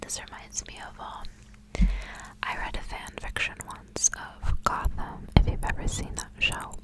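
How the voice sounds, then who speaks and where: A woman speaking in a soft, breathy, intimate whisper, as an ASMR host. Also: measured pace, low-quality recording. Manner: soft, hushed, intimate delivery. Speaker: ASMR host reading aloud. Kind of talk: whispered ASMR storybook reading with casual asides.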